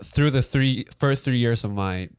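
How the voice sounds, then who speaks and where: Young taiwanese guy on a podcast, english not his first language, lots of restarts and ums, middle pitch. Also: clean tone. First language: Mandarin. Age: young adult. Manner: halting, many restarts and fillers. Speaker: podcast co-host. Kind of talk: casual chat.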